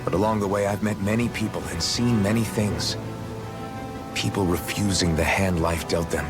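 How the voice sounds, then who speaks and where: A man's voice: low voice, steady tone, normal speed, over music, lightly acted. A man playing a blunt, earnest young hero, once a slave, in a video game cutscene. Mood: earnest, solemn. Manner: direct, questioning, plain-spoken.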